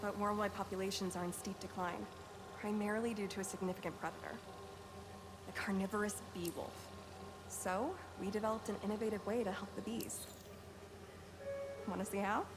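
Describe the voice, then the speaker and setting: Woman scientist, precise, professional, clear explainer. Actress, game cutscene. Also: mid-range voice, fairly even intonation, a little fast, pauses often, music in the background, lightly acted. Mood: explanatory. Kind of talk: video game cutscene acting.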